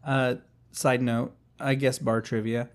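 A man's voice: mid-range voice, level tone, slow. A man co-hosting a casual podcast, dropping short wry comments and side notes. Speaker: podcast co-host.

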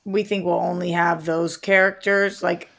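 A woman's voice: on the low side, little pitch movement, normal speed, gravelly, fairly bright tone. A woman co-hosting a podcast, chatting casually about movie news in an inquisitive, slangy way. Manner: inquisitive, slangy, prompts her co-host with questions. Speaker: podcast co-host.

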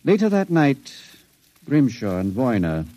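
An actor playing an intelligent, sensitive long-term sanitarium patient in an old radio drama, speaking in a measured, cautious, educated way. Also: male voice, medium pitch, huge pitch swings, warm tone, highs cut off. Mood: quietly confiding.

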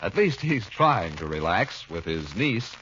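A man working as a radio announcer, reading ads and narration in polished 1940s style with deliberate emphasis. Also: sits low, big pitch swings, a bit bright. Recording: muffled vintage radio, faint hiss.

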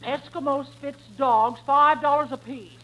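A woman's voice: sits high, fairly even intonation, unhurried, lo-fi sound, a little hiss or hum. A woman playing a folksy, long-suffering backwoods wife in a 1940s radio comedy, with a drawl. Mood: weary. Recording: vintage radio recording before studio audience.

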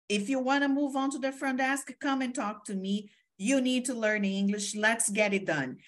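A woman's voice: fairly high pitch, moderate pace. A woman from Brazil, hosting a podcast interview in an effusive, chatty way with many fillers. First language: Portuguese. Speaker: podcast host. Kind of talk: casual interview.

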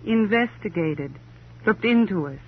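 Actress doing snarky rich ex-wife in an old radio crime show, proud and arch, thin tinny sound w/ some hiss. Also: female voice, mid-range voice, expressive, measured pace, lightly acted. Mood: wry, wounded pride. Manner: arch, sardonic, affected society phrasing. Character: brittle, wry, wealthy divorced woman, flippant and proud. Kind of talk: 1950s radio crime drama acting. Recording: muffled old radio recording, hum and hiss.

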